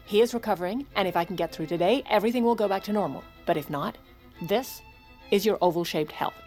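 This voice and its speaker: A woman's voice: mid-range voice, fast talker, darkish tone, faint music behind, natural voice. A woman playing a steely, sardonic and commanding First Lady in an audio drama. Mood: tense, commanding. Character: steely, wry, commanding First Lady covering for her ailing husband. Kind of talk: audio fiction drama acting.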